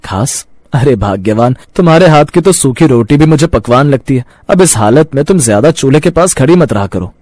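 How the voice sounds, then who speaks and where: A man's voice: mid-range voice, animated, fairly quick, hardly any pauses, a little mellow, no room sound, close to an everyday voice. A man acting a hardworking, caring street food vendor and devoted husband in a cartoon moral story, with an earnest, affectionate manner. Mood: tender. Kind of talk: acted dialogue in a Hindi moral cartoon story.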